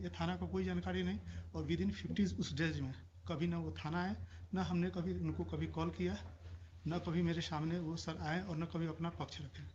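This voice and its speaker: A man's voice: fairly high pitch, darkish tone. A man with a Bihari Indian accent giving formal, defensive testimony as a police officer, deferentially addressing his superior as sir. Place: courtroom. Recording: muffled courtroom audio with clear noise.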